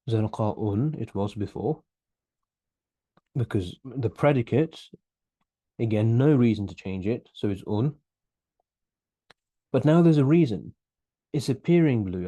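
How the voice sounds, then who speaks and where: Young guy teaching grammar over webcam, earnest, repeats himself thinking it through, warm mellow tone. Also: fairly low pitch, no room sound. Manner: earnest, explanatory, repeats words while thinking. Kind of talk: online whiteboard lesson.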